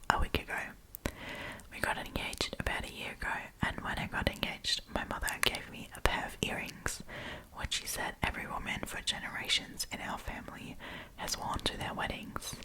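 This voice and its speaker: A woman whispering in a casual, chatty, giggly ASMR storytime style, as host reading and commenting. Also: low-quality recording.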